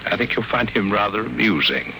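A noisy old radio recording holds an elderly sanatorium doctor. His lowish voice rises and falls expressively, professional and courteous with a slightly phony polish. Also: very animated, brisk, gravelly. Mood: courteous, businesslike. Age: older. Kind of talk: old-time radio drama acting.

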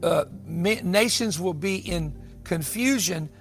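A man's voice: high voice, takes it slowly. A man in his sixties teaching the Bible in a sermon style, emphatic and given to repeating phrases for weight. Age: 60s. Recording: music bed under the voice. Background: music.

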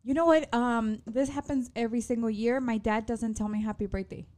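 A woman's voice: fairly high pitch, fairly even intonation, fairly quick, a little mellow. A woman in her thirties co-hosting a casual chat, laughing and swearing, mixing English and Spanish. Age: 30s. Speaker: podcast co-host.

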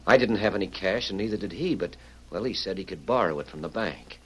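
A man's voice: low voice, expressive, fairly quick. A man playing an earnest, troubled young cowboy in an old radio western, with hesitant, sincere folksy speech. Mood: earnest confessing. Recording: old radio recording with faint hiss.

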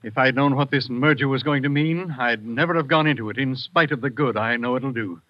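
A man's voice: medium pitch, fairly quick, lightly acted. An actor playing a nervous, worried businessman in an old radio drama. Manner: anxious, worried. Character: nervous wealthy American businessman. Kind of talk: 1950s radio drama acting. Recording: dull old radio recording.

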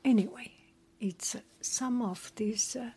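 A woman, not a native English speaker, whispering a relaxed chat and reading a story aloud with many hesitations. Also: a little high, measured pace, husky.

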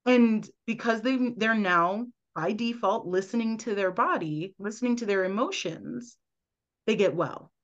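A woman's voice: medium pitch, measured pace, muffled recording. A woman guest on a podcast, earnestly explaining at length and often checking in with "right?". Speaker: guest, medical intuitive.

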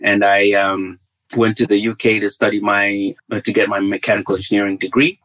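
A middle-aged man with a Zambian accent telling his life story as an interview guest, in measured, gracious, rather formal phrasing. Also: on the low side, little pitch movement, a bit bright, low-quality recording. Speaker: podcast guest, author and engineer.

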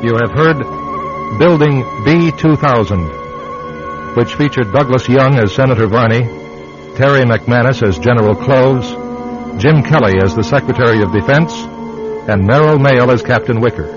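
Guy doing radio show credits, middle pitch, kinda muffled, music behind him. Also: moderate pace. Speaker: host, writer and producer reading credits. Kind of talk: scripted radio announcing.

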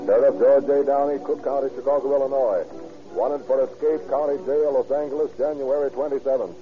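An actor playing an official police bulletin reader, formal and clipped, in an old-time radio drama. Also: male voice, mid-range voice, steady tone, brisk, natural voice. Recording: tinny phone-like radio bulletin, faint music.

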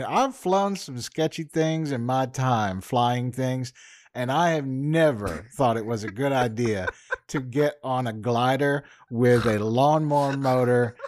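Animated joking man co-hosting a podcast. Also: medium pitch, dry recording, clean studio sound. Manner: animated, joking, exaggerated asides. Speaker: podcast co-host. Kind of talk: casual podcast chat.

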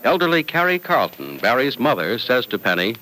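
Male 1940s radio announcer, mid-range, very expressive, deliberate scripted narration, old broadcast sound. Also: medium pitch, rough recording. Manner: polished, deliberate, dramatic.

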